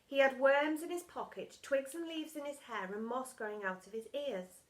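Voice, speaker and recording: A woman reading a children's storybook aloud as expressive narration, with dramatic, emphatic storytelling. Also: high voice, moderate pace. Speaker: primary school story reader.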